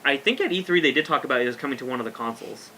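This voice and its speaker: A man's voice: medium pitch, expressive, racing, bright, forceful tone. A man chatting casually as a guest on a podcast panel. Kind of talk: casual chat. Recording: faint room noise.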